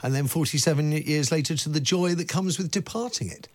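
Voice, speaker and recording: A man hosting a talk radio show, interviewing guests in a lively, chatty way with jokey asides. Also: a little high, brisk. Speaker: radio host.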